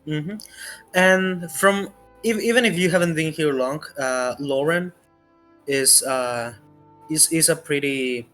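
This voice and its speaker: A man running a casual tabletop roleplay as game master, talking in a halting way as he thinks aloud. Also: fairly high pitch, a bit bright. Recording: music playing behind the voice. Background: background music.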